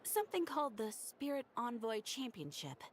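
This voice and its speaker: A woman's voice: fairly high pitch, very animated, moderate pace, breathy edge. A woman voicing a polite, formal female guild receptionist who explains things helpfully in video game dialogue. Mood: courteous. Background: game music.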